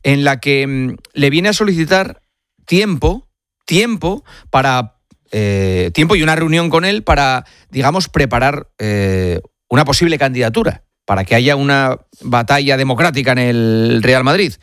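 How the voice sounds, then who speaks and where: A man with an accent from Spain hosting a live sports radio show, speaking deliberately with hesitant pauses into a studio microphone. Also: medium pitch, animated, a bit bright, no room sound. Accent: Spanish from Spain. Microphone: studio broadcast microphone.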